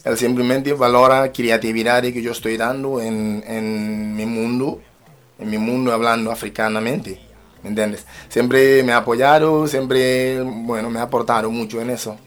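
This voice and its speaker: A man with a mid-pitched voice speaks as a musician being interviewed. He talks in a halting way, repeating words and short phrases while he builds his answers. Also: fairly even intonation. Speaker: musician and rapper, interview guest. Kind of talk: spoken radio interview.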